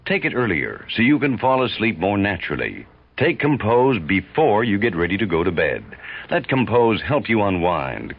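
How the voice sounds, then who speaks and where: A man delivers a measured, soothing radio sales pitch. His voice is low and husky with lively inflection, on a dull old recording. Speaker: commercial announcer. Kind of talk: scripted radio advertisement.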